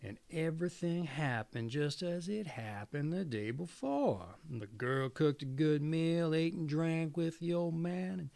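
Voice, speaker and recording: A man's voice: fairly high pitch, animated, a little slow, gravelly, hardly any pauses, a little mellow, dry recording. A man reading a fairy tale aloud expressively as a storyteller.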